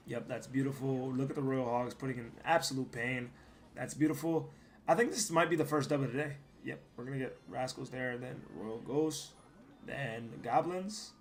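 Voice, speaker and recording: A young man, hoarse from losing his voice, giving casual, profane commentary over his gameplay and rambling between plays, sometimes breaking into loud yells. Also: medium pitch, slight background noise. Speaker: gaming streamer.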